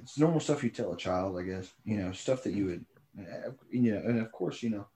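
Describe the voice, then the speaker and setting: A young man co-hosting a podcast, telling rambling stories full of fillers and deadpan jokes. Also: fairly low pitch, fairly quick. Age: young adult. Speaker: podcast co-host. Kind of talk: casual chat.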